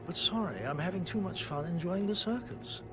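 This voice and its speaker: An actor playing a sarcastic, amused heir of a wealthy family in a video game. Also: male voice, sits high, animated, moderate pace, loud music behind the voice. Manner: mocking, amused, drawling. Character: smug, sarcastic rich heir, middle-aged.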